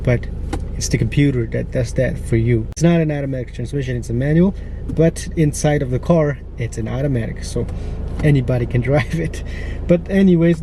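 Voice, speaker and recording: A young man from Guatemala, who grew up speaking Mam, talks through his day as a mobile mechanic in a chatty vlog, halting often with fillers and restarts. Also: medium pitch, dark tone. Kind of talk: casual vlog narration. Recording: outdoor street noise behind.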